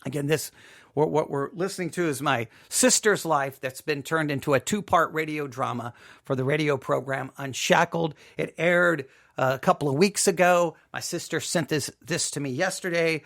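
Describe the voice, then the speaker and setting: Male host, notably high voice, off-the-cuff personal commentary, emphatic, halting, circles back on phrases. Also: vocal fry, a bit bright. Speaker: host commenting on a radio drama. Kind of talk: casual, personal spoken commentary.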